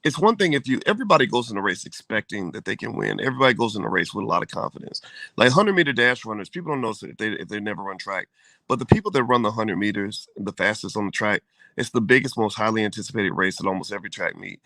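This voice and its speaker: A man's voice: on the low side, lively intonation, fast talker, creaky, sharp tone, no room sound. A man co-hosting a casual chat show, laid-back and joking, with slangy turns of phrase. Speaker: co-host.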